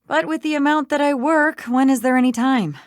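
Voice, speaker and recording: A woman's voice: high-pitched, a little fast, no echo, clean studio sound, close to an everyday voice. A woman playing a confident, teasing small-town lawyer in a video game, with a wry, self-assured manner. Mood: exasperated. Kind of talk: scripted video game dialogue.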